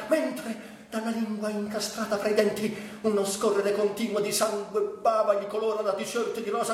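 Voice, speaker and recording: Bitter, tormented young male addict, played by a man: high-pitched, declamatory stage monologue, heard in a very echoey space. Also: natural voice. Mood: tormented, tense. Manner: declamatory, drawn-out phrases with sudden swings. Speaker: stage actor and director. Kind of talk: theatrical dramatic monologue. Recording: distant, echoey room with faint noise.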